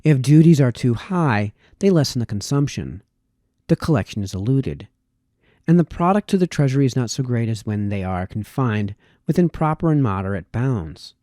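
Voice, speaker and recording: Mellow-voiced man reading aloud, dry sound. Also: mid-range voice, lively intonation, moderate pace, no room sound. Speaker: reader, host of a reading series. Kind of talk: reading aloud formal historical essays.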